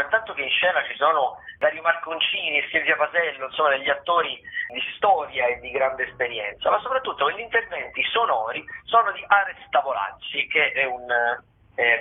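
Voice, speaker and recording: A man giving a casual radio rundown as a theatre critic, wordy and halting with repetitions. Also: mid-range voice. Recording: tinny phone line.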